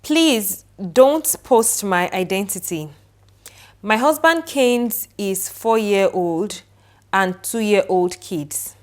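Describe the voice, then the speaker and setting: A young woman co-hosting a podcast, calm and soft-spoken, who reads a listener's message aloud. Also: medium pitch, slow pace. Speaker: podcast co-host. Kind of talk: casual podcast chat.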